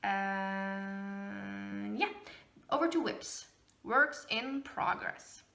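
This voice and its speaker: A woman's voice: medium pitch, very slow, gravelly, sharp tone, faint music behind. A woman whose native language is Norwegian, hosting a casual knitting podcast and chatting freely with frequent laughs and fillers. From Norway. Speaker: podcast host, knitting designer. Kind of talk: casual chat.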